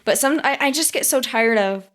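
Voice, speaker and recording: A woman's voice: high-pitched, normal speed, fairly bright tone. A woman chatting casually as a podcast co-host, with informal, loosely strung phrasing. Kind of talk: casual chat.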